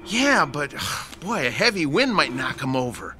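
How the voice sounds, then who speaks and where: A man's voice: fairly high pitch, sing-song, unhurried. An American actor in an audio drama playing a worried, exasperated male mechanic who tends a worn-out robot, fretful and protesting. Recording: music under the voice.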